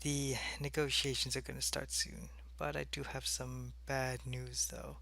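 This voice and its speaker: A man voicing an earnest, devoted alien spy in an ASMR audio roleplay, speaking in a measured, halting way. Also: medium pitch, level tone, creaky, pushed and bright. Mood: subdued, regretful.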